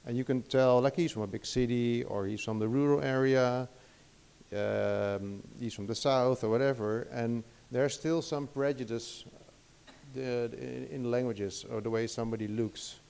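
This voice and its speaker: Dutch-accented man lecturing, gravelly and mellow. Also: medium pitch, steady tone, normal speed. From Netherlands. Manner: hesitant, many restarts and fillers. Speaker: guest lecturer. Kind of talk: academic lecture.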